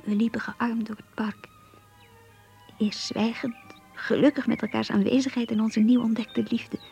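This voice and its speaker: An actress playing an earnest, lovestruck young woman confiding her diary in a radio play, in a hushed, breathy voice. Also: a little high, flat delivery, moderate pace, soft background music. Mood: lovestruck, uneasy. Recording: muffled, lo-fi radio play recording.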